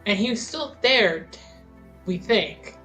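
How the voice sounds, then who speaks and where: Woman, tabletop game player, slow pace, hesitant, rambling, medium pitch, faint background music. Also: expressive, fairly bright tone. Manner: hesitant, rambling, thinking aloud. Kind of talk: casual game-table discussion.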